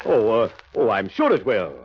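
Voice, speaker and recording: An actor playing a hearty, courteous gentleman collecting for charity in 1930s radio drama, formal and earnest. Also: on the low side, big pitch swings, moderate pace, slight background noise. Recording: dull vintage radio broadcast.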